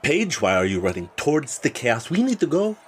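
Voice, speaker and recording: A man's voice: mid-range voice, huge pitch swings, a little fast, a faint crowd, slight background noise. An actor playing a nervous, sarcastic man in an audio drama. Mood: alarmed, sarcastic. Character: anxious, sarcastic male teacher's companion. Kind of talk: dramatic audio fiction acting.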